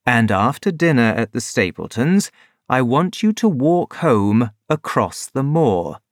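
A reader voicing an anxious gentleman who asks his friends to stay. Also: medium pitch, very animated, measured pace, no echo, clean studio sound. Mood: worried. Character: anxious gentleman host, worried.

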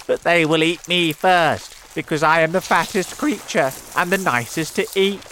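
A man's voice: fairly high pitch, moderate pace, pushed and bright, a little hiss or hum, voice pushed up, low-quality recording. A man voicing a frightened, self-pitying fat earthworm in a storybook, wailing and melodramatic. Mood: panicked wailing.

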